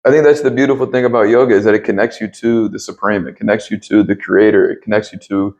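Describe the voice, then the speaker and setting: A man with a deep voice speaking earnestly as an interview guest about spiritual matters, often pausing to search for words. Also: fairly even intonation, a little mellow. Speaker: interview guest, yoga teacher and Reiki practitioner. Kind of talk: reflective spiritual conversation.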